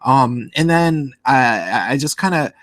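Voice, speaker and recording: A man's voice: mid-range voice, animated, measured pace, a bit bright, no echo. A man in his late thirties with a nasal voice, hosting a casual livestream chat and rambling with frequent restarts. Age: late 30s. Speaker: podcast host.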